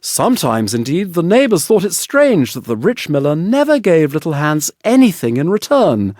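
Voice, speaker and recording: Guy reading a storybook audiobook, slightly high voice, really lively melody, no room sound. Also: moderate pace, no echo, clean studio sound. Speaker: audiobook narrator. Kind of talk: expressive scripted storybook narration.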